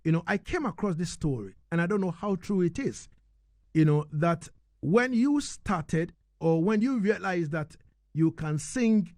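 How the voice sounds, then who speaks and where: A man hosts a live radio interview in a high-pitched voice. The sound is dry and close, as in a studio, as he addresses both guest and listeners. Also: lively intonation, a little slow, a little mellow, dry recording.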